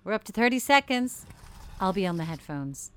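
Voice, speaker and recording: A woman playing a brisk, reassuring studio producer in an audio drama. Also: mid-range voice, sing-song, fairly bright tone, clean tone, dry recording. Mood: placating. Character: brisk, placating female radio producer. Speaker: radio producer character. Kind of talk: naturalistic audio drama acting.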